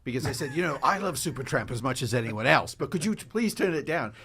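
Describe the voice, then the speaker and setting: A man co-hosting a podcast in casual chat, telling stories about making the show with animated restarts. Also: medium pitch, fast, breathy edge. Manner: animated, storytelling, trailing restarts. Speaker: podcast co-host and show creator.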